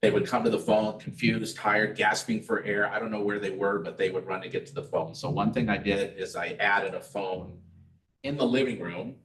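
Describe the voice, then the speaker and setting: Low-voiced man teaching in a roomy hall. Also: steady tone, normal speed, fairly bright tone, distant mic. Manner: conversational, tells personal stories, asks rhetorical "right?". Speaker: community class presenter. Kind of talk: casual educational talk. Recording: roomy echo, voice off-mic.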